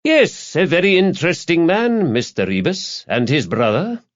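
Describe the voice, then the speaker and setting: A man playing a sly, higher-pitched male reporter. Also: very animated, normal speed, lo-fi sound. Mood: insinuating. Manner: insinuating, conversational, fishing for information. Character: sly, probing male newspaper reporter, needling and insinuating.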